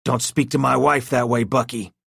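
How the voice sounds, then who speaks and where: Man playing a smug, laughing boss type, raised voice, bright and lively; storybook reading. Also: mid-range voice, moderate pace, creaky, husky, no echo, clean studio sound, voice pushed up, exaggerated voice. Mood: smooth, firm. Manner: amused, dismissive, laughing. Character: smug older male cult leader, mocking.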